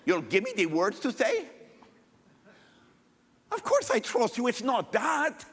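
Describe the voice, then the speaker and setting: A high, nervous Moses with a Mexican accent and a speech impediment, as a man puts him on. He stammers and rushes his words. It sits in a hall with a long echo. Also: huge pitch swings, gravelly, a bit bright, slightly rough, full character voice. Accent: Mexican Spanish.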